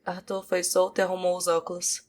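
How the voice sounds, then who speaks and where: A woman's voice: lowish voice, completely flat delivery, a little fast, darkish tone. A Brazilian woman reading scripted narration for a fantasy audiobook. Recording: music behind the voice. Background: music.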